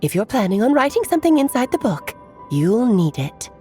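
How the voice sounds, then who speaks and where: A woman's voice: fairly high pitch, big pitch swings, fairly quick, warm tone. A woman playing an elegant, playful adult witch who speaks in an airy, teasing, coy way in a video game cutscene. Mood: teasing, explanatory. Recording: faint music behind the voice.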